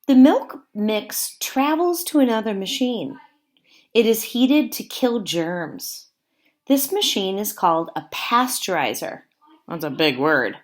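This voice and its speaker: A woman teacher reading aloud to young children in simple, deliberate phrasing, pausing to explain as she goes. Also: medium pitch, expressive. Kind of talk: read-aloud lesson with explanatory asides.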